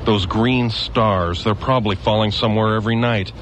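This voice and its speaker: A grim, scheming survivor, voiced by a man speaks low and blunt, lecturing on how to live under invaders. His tone stays bitter and matter-of-fact. The radio play recording carries a clear hiss underneath. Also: fairly even intonation, normal speed, close to an everyday voice. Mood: defeated. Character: hardened male survivor, former guardsman, grim and scheming. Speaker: stranger with a knife. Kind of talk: local radio drama.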